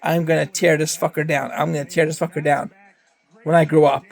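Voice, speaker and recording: A man's voice: on the high side, flat delivery, a little fast, gravelly. A young man from Canada, talking in a rambling, profane monologue and repeating himself often. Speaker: vlogger. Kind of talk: casual monologue.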